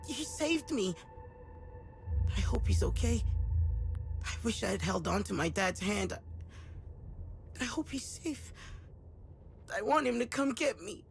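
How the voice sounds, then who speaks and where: Timid, slow-speaking little boy. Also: a little high, animated, creaky, faint music behind, full character voice. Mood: frightened, sad. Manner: timid, halting, earnest. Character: frightened, trusting little boy orphaned by war. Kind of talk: dramatic video game dialogue.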